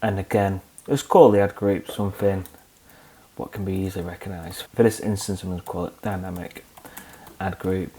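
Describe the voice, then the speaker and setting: A young man hosting a screen-recorded software tutorial, calmly walking through each click step by step. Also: low-pitched, normal speed. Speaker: tutorial host.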